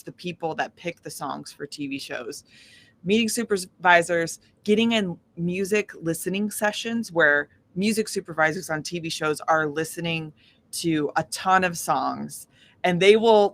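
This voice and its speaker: Bright-voiced woman telling animated stories. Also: fairly low pitch. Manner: animated storytelling, often acting out quoted dialogue. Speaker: interview guest, independent singer-songwriter. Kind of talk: casual interview talk.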